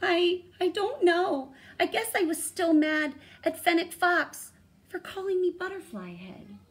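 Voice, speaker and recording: A woman's voice: very high voice, lively intonation, measured pace, voice pushed up. A woman voicing a frightened, pleading dog with a quavering voice in a storybook reading. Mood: nervous.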